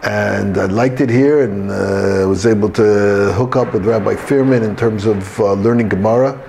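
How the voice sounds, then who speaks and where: A middle-aged man with a gravelly, lowish voice gives an informal student testimonial. He speaks haltingly, stopping mid-thought, with noticeable ambient noise behind him. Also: a little mellow. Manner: halting, pausing mid-sentence. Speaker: yeshiva student giving a testimonial.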